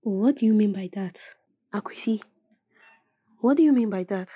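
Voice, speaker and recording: A woman acting a sharp-tongued young woman in love in an audio play, with a Ghanaian accent. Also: mid-range voice, moderate pace, slightly rough, no room sound, studio quality, close to an everyday voice. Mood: playful. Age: young adult. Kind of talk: dramatic dialogue in an audio play.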